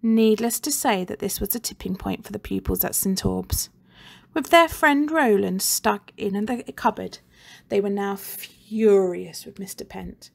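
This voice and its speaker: A woman teacher reading a children's book aloud with expressive, animated storytelling. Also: medium pitch, a little slow, gravelly.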